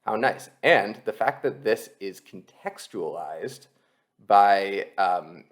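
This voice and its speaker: A man with a fairly low voice gives an explanatory tutorial. He sounds like an instructor talking over a screen share, conversational and going step by step. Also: animated, takes it slowly. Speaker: copywriting instructor, presenter.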